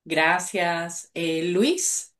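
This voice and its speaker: A woman moderates a video call, thanking each speaker and calling on the next. She speaks slowly in a lowish voice. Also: fairly bright tone. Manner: brief, courteous. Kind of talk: calling on participants.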